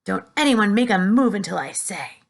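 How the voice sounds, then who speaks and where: A woman's voice: moderate pace, gravelly. A woman voicing a blustering, panicky male fire chief in a read-aloud storybook. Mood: grim. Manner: shrill, excitable.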